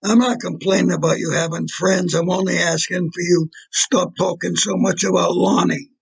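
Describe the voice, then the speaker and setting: A man acting in an audio play as an irritable, menacing mob fixer boyfriend, with blunt, slangy talk and a gravelly, husky voice. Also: high voice, level tone, normal speed, full character voice, low-quality recording. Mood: irritated.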